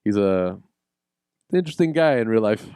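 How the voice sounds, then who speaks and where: Male podcast co-host, lowish warm mellow voice, relaxed informal banter with casual swearing. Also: normal speed, clear, resonant tone, no echo, studio quality. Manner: laughs often, swears casually.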